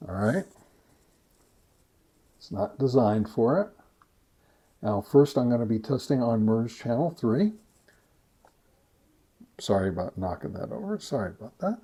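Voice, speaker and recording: A man narrating a casual product test as a review host, talking step by step in a halting way. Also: medium pitch, lively intonation, warm tone, breathy edge. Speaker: host of a ham radio gear review channel.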